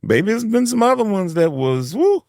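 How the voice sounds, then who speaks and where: A man's voice: high-pitched, sing-song, moderate pace, gravelly, a little mellow, no echo, studio quality. A man hosting a podcast, chatting casually and animatedly, laughing often and acting out quotes. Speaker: podcast host. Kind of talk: casual chat.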